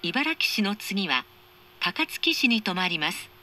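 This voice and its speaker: A woman making formal, measured, carefully articulated scripted train announcements over an onboard speaker. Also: medium pitch, sing-song, pushed and bright. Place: recorded inside a train carriage.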